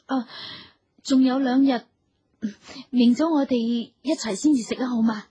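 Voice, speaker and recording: High-voiced, tender young wife. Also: female voice, unhurried, mellow, breathy edge. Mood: hesitant. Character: young newlywed wife, tender, torn and hesitant. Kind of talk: Cantonese radio drama acting.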